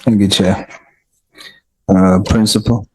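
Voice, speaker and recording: A man, a committee member pressing a witness with slow, pointed, repeated questions in a parliamentary hearing. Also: on the low side, little pitch movement, a little mellow, husky, poor audio.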